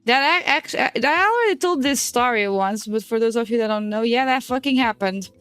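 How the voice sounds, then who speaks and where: A woman's voice: a little high, animated, a little fast, fairly bright tone, clean tone, dry recording. A woman in her twenties, a native Portuguese speaker, chatting casually on a stream while she draws, swearing and laughing often. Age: 20s. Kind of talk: casual chat while drawing.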